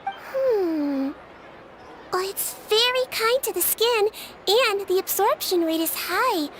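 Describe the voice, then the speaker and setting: A woman voicing a small, sweet, girlish creature in a video game, childlike, gentle and polite. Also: very high-pitched, expressive, takes it slowly, a little hiss or hum, heavily acted. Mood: helpful. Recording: music behind the voice.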